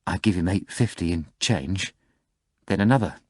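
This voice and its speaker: Guy reading as a nervous put-upon bartender, low and halting, dry close sound. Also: no echo. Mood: flustered. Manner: flustered, hesitant. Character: timid, miserable middle-aged male bartender.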